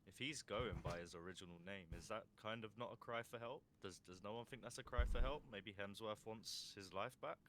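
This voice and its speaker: A man in a live roleplay playing a scholarly reader of grim lore, measured and portentous when reading. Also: lightly acted. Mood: questioning. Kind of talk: in-character roleplay, dramatic scroll reading. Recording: background rumble and noise.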